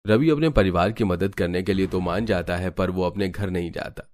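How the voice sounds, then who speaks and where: A man's voice: sits low, normal speed, a little mellow. A man narrating a scripted cartoon family story.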